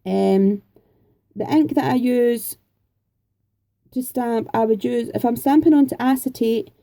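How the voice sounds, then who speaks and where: A woman's voice: a little high, unhurried, vocal fry, dark tone. A Scottish woman in her forties chatting casually and rambling a little as she answers viewer questions. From Scotland. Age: 40s. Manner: chatty, rambling, homely, with frequent fillers. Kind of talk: casual chat.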